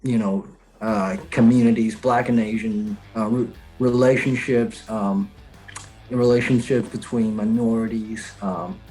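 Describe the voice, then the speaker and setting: A man from China with a Chinese accent, a guest comedian chatting casually and telling stories with many pauses and fillers. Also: on the low side, faint music behind, rough recording. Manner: hesitant, many fillers, animated storytelling. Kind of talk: casual chat.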